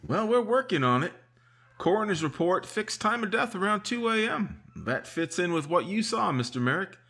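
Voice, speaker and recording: A man's voice: sits high, sing-song, moderate pace, bright, forceful tone, heavily acted. An actor playing a patient small-town sheriff in a 1950s-style radio mystery, drawling and hesitating with "uh" and "mm" as he questions a witness. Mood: suspicious. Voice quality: husky, drawling with "uh" and "mm".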